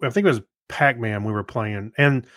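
Male co-host, medium-pitched, relaxed conversational delivery, dry studio-like sound. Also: moderate pace, no room sound. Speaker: podcast co-host. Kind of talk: casual chat.

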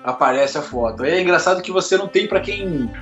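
A man from Rio de Janeiro with a Carioca accent, a lighting photographer chatting as an interview guest, telling animated stories full of fillers and repeated words. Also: high voice, fast, roomy sound, muffled recording, faint music behind. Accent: Carioca Portuguese. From Rio de Janeiro, Brazil. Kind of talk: casual interview chat.

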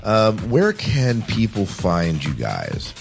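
A man's voice: fairly low pitch, huge pitch swings, slow pace, vocal fry, over music. A man hosting a podcast in casual chat, often affirming others with short repeated interjections. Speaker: podcast host.

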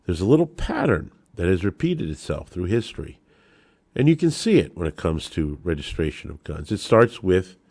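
A man's voice: on the low side, a little mellow, no room sound, studio quality. A man with an American accent giving emphatic political commentary as a podcast host, talking straight to listeners with rhetorical turns. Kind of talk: political commentary on gun law.

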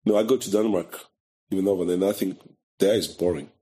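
A middle-aged man from Brazil who speaks English with a Brazilian Portuguese accent, his first language being Portuguese. His voice sits fairly low. He talks as an interview guest in a loose, conversational way, acting out the people he quotes with halting phrasing. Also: dark tone, breathy edge. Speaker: guest, photographer.

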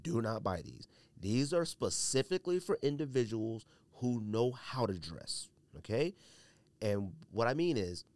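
A man's voice: mid-range voice, darkish tone, no echo. A man hosting a casual podcast with blunt, emphatic, opinionated commentary, repeating himself for emphasis while a bit under the weather. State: under the weather, coughing and sneezing. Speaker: podcast host.

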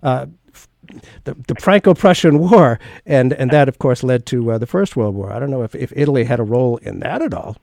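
A man hosts a radio talk show and asks his guest loose, rambling questions full of fillers and restarts. His voice is warm and mellow. The studio sound is dry and close. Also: medium pitch, lively intonation, fairly quick, creaky, dry recording. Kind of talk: casual interview chat.

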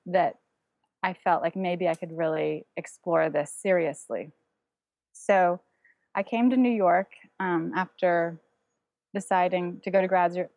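Woman artist guest, German first language, lower voice, reflective and halting, dry close recording. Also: darkish tone, dry recording. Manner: thoughtful, many ums and restarts. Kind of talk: casual interview, recounting her path.

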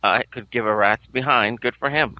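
A man co-hosting a casual podcast from a couch at home, rambling and giggly, with sudden theatrical jumps up in pitch. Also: moderate pace, no room sound, dull audio. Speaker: podcast co-host. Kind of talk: casual chat. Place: living room at home, on a couch.

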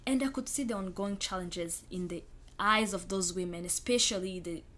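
A woman's voice: mid-range voice, moderate pace, fairly bright tone, slight background noise. A young woman from Rwanda with a Rwandan accent, a guest in an interview, speaking earnestly and reflectively and repeating phrases for emphasis.

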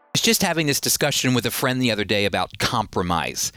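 Earnest, bright-voiced male radio Bible teacher. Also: mid-range voice, big pitch swings, quick pace, faint music behind. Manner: earnest conversational preaching with fillers.

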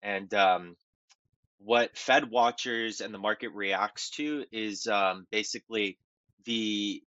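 Stuffy-nosed man, halting market talk. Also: lowish voice, bright, forceful tone, dry recording, highs cut off. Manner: halting, many ums and restarts. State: nasal from a cold. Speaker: podcast co-host. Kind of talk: casual market commentary.

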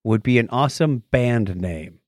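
A man's voice: medium pitch, expressive, normal speed, darkish tone, no room sound, studio quality. A man hosting a podcast in a casual, playful and enthusiastic chatting style. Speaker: podcast host.

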